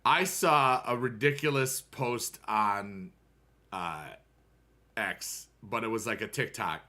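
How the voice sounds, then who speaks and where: A man's voice: lowish voice, slow, sharp tone. An American man in his thirties chatting casually with viewers on a game stream, rambling and upbeat with playful rhymes.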